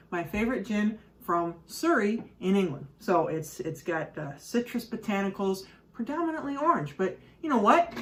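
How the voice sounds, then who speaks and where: A woman from the Midwest, chatting casually and rambling a bit as she mixes cocktails on her own show. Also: medium pitch. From Midwest of the United States. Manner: chatty and rambling, with frequent fillers and asides. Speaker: home cocktail show host. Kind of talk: casual how-to chat while mixing drinks. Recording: voice a bit distant from the mic.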